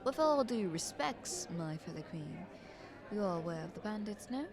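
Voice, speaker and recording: A woman playing a scheming, flattering queen in an audio roleplay, speaking in a haughty, ornate courtly manner. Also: mid-range voice, normal speed, a crowd in the background, close to an everyday voice. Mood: insinuating, condescending. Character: visiting queen, scheming, flattering, condescending royal negotiator.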